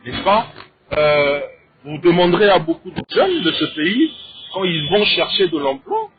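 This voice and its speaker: Congolese guy debating on a talk panel, slightly higher voice, thin phone-ish audio. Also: big pitch swings, measured pace, a little hiss or hum. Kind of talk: conversational opinion and debate. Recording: thin, phone-like narrow sound.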